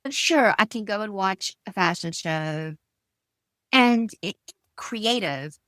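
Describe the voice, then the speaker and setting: A middle-aged woman with a South African accent, answering in an interview with emphatic, expressive storytelling and personal anecdotes. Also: mid-range voice, takes it slowly, dry recording, studio quality. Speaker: guest, stylist and designer.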